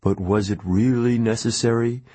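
A man's voice: mid-range voice, fairly even intonation, moderate pace, mellow, husky, dry recording, natural voice. A man voicing a nervous, deferential male servant in an audiobook. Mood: fawning. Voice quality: anxious, deferential. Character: nervous invisible male servant, fawning toward his master.

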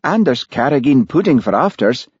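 A man's voice: medium pitch, very animated, normal speed, dark tone, breathy edge, no room sound, lo-fi sound, pitched above the performer's own voice. A man voicing an earnest, naive young man in an audiobook story, emphatic and easily flustered. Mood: proud, eager.

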